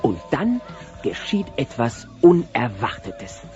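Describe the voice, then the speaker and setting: A man's voice: mid-range voice, huge pitch swings, takes it slowly, a little mellow. A man narrating a radio play in a scripted storytelling style. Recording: muffled old cassette transfer. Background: music.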